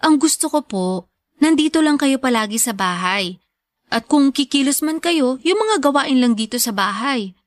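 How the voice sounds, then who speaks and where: High-voiced, devoted young student daughter. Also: female voice, lively intonation, normal speed, no room sound, studio quality. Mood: earnest, insistent. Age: young adult. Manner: respectful, earnest. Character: devoted, hardworking young woman student, reassuring her mother. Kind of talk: Filipino radio drama acting.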